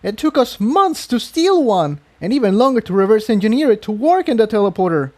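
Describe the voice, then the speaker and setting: Man putting on a high-pitched fiery pony mare. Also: sing-song, brisk, darkish tone. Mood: outraged. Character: incredulous, fiery female pony resistance fighter.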